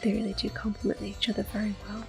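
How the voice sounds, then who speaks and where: Soft-spoken young woman whispering close. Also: medium pitch, fast talker. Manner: calm and chatty, with gentle fillers. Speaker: ASMR gaming host. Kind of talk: ASMR gameplay commentary. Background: faint video game music.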